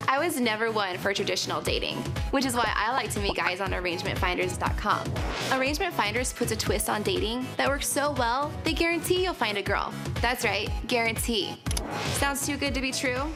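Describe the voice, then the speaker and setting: A woman's voice: on the high side, expressive, brisk. A woman reading a flirty, teasing radio ad. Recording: ad with loud music bed.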